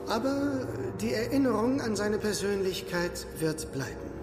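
A man playing a solemn clergyman in an audio drama, speaking a funeral eulogy in a measured, formal way. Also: high voice, animated. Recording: echoey church reverb, faint music.

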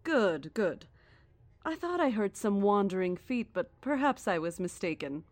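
Coolly menacing cult leader, lilting and formal. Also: female voice, mid-range voice, sing-song, a little mellow, no room sound. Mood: courteous, ominous. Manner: formal, measured, condescending. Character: serene, menacing female cult leader, mockingly polite. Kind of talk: audio drama acting.

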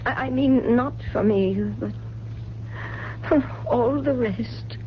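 A woman's voice: a little high, slow pace. An actress playing a wealthy, forgetful, grief-haunted widowed mother in a radio mystery drama, with a genteel, drifting manner. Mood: grieving. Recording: dull, hissy 1970s radio recording.

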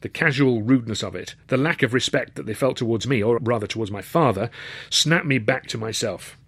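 A man's voice: mid-range voice, brisk, a bit bright, husky, no echo. A man reads a first-person comic novel in a mid-range voice. His delivery is scripted, wry and literary in its phrasing. The sound is close and dry, with hardly any room to it. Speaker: author and narrator.

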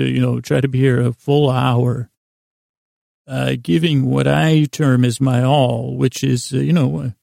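A man hosting a bedtime podcast in a meandering, halting monologue, self-deprecating and silly, repeating and playing with words. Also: mid-range voice, vocal fry, dark tone, dry recording, clean studio sound. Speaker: podcast host.

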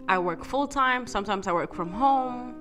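A woman's voice: on the high side, lively intonation, normal speed, music in the background. Young woman co-host reading her intro. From West Africa. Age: 20s. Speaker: podcast co-host.

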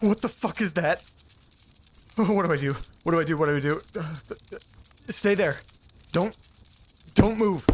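A man acting in an audio drama as an uneasy guy talking to himself, halting and muttering with some swearing. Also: fairly high pitch, a little hiss or hum, close to an everyday voice. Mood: panicked. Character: startled, uneasy man alone, muttering to himself and his pet. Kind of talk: naturalistic audio drama monologue.

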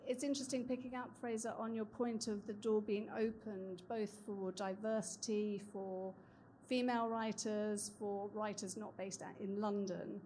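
A woman's voice: medium pitch, fairly even intonation, normal speed, dark tone, a little hiss or hum. A woman hosting a live panel discussion, asking questions with many hesitant ums. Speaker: panel host. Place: event venue, live audience.

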